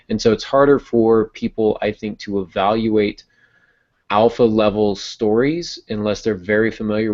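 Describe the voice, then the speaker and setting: A man's voice: fairly low pitch, gravelly, a little mellow. A man hosting a podcast, giving conversational advice about writing. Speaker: podcast host and writer. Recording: dull lo-fi audio.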